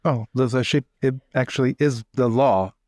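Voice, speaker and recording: A man's voice: mid-range voice, fairly even intonation, moderate pace, darkish tone, no echo, clean studio sound, natural voice. A man playing a wry companion in a role-play drama, making teasing asides.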